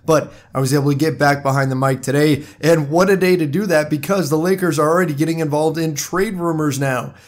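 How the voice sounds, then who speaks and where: A man hosting a solo podcast, talking straight to his listeners in a conversational way, hoarse from a cold. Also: on the high side, steady tone, brisk, few pauses. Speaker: podcast host. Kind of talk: solo sports podcast monologue.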